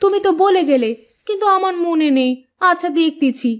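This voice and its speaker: Woman voicing a high-pitched village housewife, slightly muffled. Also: fairly quick. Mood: sheepish. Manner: colloquial, instructing, matter-of-fact. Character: practical village housewife, brisk and gently bossy. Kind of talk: cartoon character dialogue.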